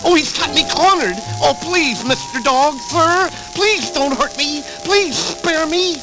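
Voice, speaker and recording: A man's voice: extremely high pitch, takes it slowly, low-quality recording. A man voicing a grumpy, scheming cartoon cat with a slobbery lisp and blustery delivery on a vintage children's record. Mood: anxious. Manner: blustery, exclamatory. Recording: vintage 78rpm record with music.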